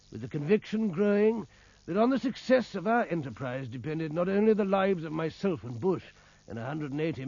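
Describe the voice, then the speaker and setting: A man's voice: fairly high pitch, darkish tone, husky, no echo, lo-fi sound, heavily acted. A man playing an earnest British naval officer, narrating his own exploits in a grave, literary radio-drama style. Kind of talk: dramatic first-person scripted narration.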